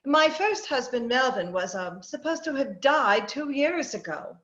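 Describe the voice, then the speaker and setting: A woman's voice: sits high, animated, unhurried, a bit bright. A woman playing a talkative, bossy middle-aged suburban wife, insistent and chatty, in an old-time radio drama. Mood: confiding, chatty. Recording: muffled, roomy video-call audio.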